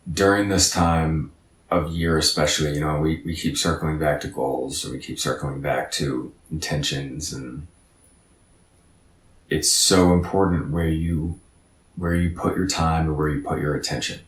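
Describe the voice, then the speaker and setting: A man's voice: extremely low pitch, steady tone, measured pace, long pauses, roomy sound, far from the mic. A man in his thirties giving an earnest, reflective motivational talk as a podcast guest, often repeating words as he thinks. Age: 30s.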